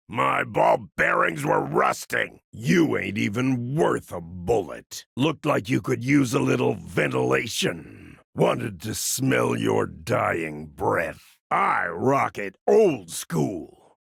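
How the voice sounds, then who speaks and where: A world-weary old part-machine gunfighter in a video game. His American voice is husky and full of gravel, swinging widely in pitch. He grumbles in folksy slang with a gruff, wry temper, taking his time over the words. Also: a bit bright, no echo, studio quality, exaggerated voice. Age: older. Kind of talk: video game character voice lines.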